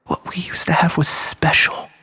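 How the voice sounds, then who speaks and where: A man's voice: moderate pace, pushed and bright, whispered, big-room sound, lightly acted. A man playing a desperate, manipulative, self-pitying lover in a dramatic monologue, stammering and repeating himself as he swings between pleading and bitterness. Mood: bitter, accusing.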